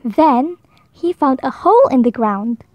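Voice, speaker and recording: A woman reading a children's picture book aloud, slowly and expressively, with higher voices for the animals. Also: dry recording, highs cut off. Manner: slow, expressive, high voices for animal lines. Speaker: storybook reader. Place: indoors at a table, book held to camera.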